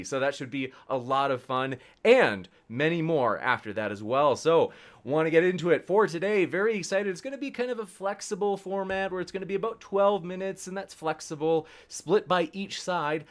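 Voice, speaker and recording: An enthusiastic man moderating an online debate. His voice sits fairly high and swings up and down a lot as he chats directly to viewers in an upbeat introduction. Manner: enthusiastic, chatty, addresses audience directly.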